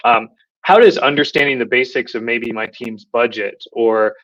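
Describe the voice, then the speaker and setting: A man in an interview host role, recapping his guest's points in a measured voice of middling pitch. His speech is thoughtful and dotted with ums, and the audio sounds slightly dull and lo-fi. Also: fairly even intonation, a bit bright. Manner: thoughtful, many filler ums. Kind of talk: interview moderating, summarizing.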